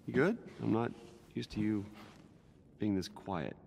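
A weary, gentle scientist in middle age. He speaks slowly with a lot of fry, hesitant and mumbling, in a naturalistic game style. Long reverb hangs around the voice. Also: on the low side, lively intonation. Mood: awkward. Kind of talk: naturalistic video game acting. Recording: long reverb, faint background noise.

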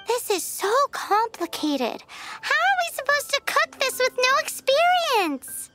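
Tiny fairy game sidekick, extremely high squeaky voice, childlike, cheeky, excitable, very animated. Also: female voice, measured pace, faint music behind, full character voice. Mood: flustered. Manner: squeaky, speaks of self in third person. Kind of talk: video game character acting.

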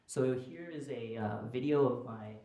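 A man's voice: mid-range voice, a little slow, warm tone. A young man from Nepal who grew up in the United States, giving an informal presentation in a hesitant, explanatory way. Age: young adult. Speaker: student reporting fellow giving a presentation. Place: indoor conference room with small audience. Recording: roomy, echoing presentation room.

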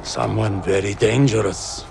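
Casting: older militant leader, low gravelly voice, slow quiet menace, war game. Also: male voice, steady tone. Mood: calm menace. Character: older male terrorist leader, calmly menacing captive.

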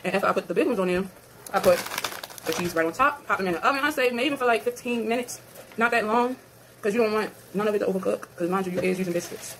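A woman's voice: medium pitch, quick pace. A young woman chatting casually to her viewers while cooking in her home kitchen, calling them y'all. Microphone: phone camera on the counter. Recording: noisy phone recording with kitchen clatter.

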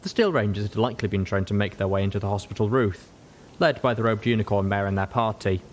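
A man's voice: fairly low pitch, quick pace, a little hiss or hum. A man reading first-person fiction aloud as a scripted audiobook narration. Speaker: audiobook reader.

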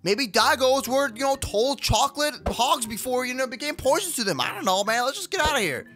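High-pitched, wisecracking young adventurer, acted by a man. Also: animated, fairly quick, sharp tone, faint music behind, exaggerated voice. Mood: casual, exasperated. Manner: slangy, jokey, stammering. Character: excitable, wisecracking young adventurer with a pet companion. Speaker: YouTube roleplay creator. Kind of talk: improvised game roleplay acting.

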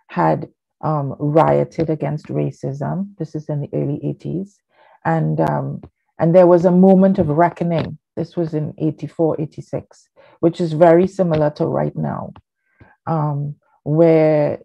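A woman's voice: low voice, creaky, dark tone. A woman from Trinidad, older, giving a rambling, chatty workshop talk with many fillers over a home video call. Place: living room at home. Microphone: laptop webcam on a video call. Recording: dull lo-fi video call audio.

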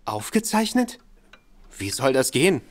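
An actor playing a timid, easily shocked young gentleman accomplice who hesitates and stammers. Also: medium pitch, sing-song, measured pace. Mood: flustered. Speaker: reluctant accomplice. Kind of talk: studio audio drama acting.

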